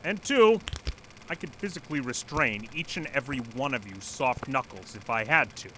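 An actor in an audio drama playing an earnest, stubborn former manager who lectures formally and pleads insistently. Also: male voice, mid-range voice, lively intonation, normal speed, bright, forceful tone, a little hiss or hum, rough recording. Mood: firm, explaining. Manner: formal, insistent, speech-making. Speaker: presenter at a company meeting. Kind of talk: audio drama acting, satirical dystopian comedy.

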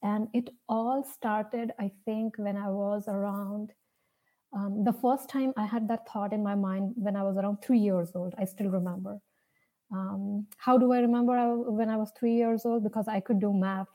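A young woman from India with an Indian accent tells her personal story off the cuff, earnestly and with hesitant pauses. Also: mid-range voice, steady tone, warm tone, low-quality recording. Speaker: speaker sharing a personal story. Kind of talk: personal storytelling, unscripted talk.

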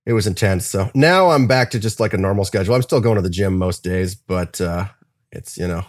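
Man, guest drummer, low voice, candid, rambling, dry close sound. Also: a little fast, dry recording. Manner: candid, rambling, swears casually. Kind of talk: casual interview.

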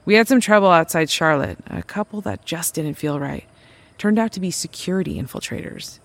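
Actor playing a gravelly-voiced drifter mechanic woman. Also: fairly low pitch, expressive, brisk. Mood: reflective, wry. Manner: conversational, wry, reflective. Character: resourceful, warm mechanic drifter telling of hard travels. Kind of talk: naturalistic audio drama dialogue and storytelling.

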